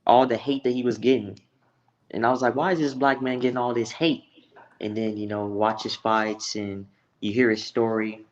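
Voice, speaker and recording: A man's voice: medium pitch, level tone, moderate pace, muffled recording. An American man from Texas giving blunt, profane opinions in a casual, slangy sports chat. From Texas, United States.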